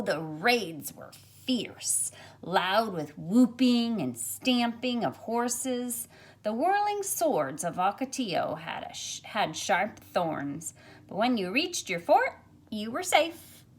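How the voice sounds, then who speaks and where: A middle-aged woman, a teacher, speaking expressively and deliberately as if to young students during a picture book read-aloud. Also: medium pitch. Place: carpeted room at home, indoors. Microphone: phone at arm's length.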